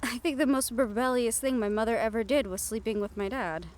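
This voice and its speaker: A woman's voice: on the high side, a little fast, lightly acted. A woman playing a young, wry and affectionate siren-banshee hybrid in an intimate roleplay audio drama, talking in a teasing, conversational way. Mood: wry, amused. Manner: soft-hearted, teasing, conversational storytelling.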